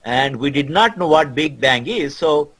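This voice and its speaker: A man speaking as a guest scholar in a debate, explaining his case in a halting but insistent way. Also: fairly high pitch, expressive, fairly bright tone.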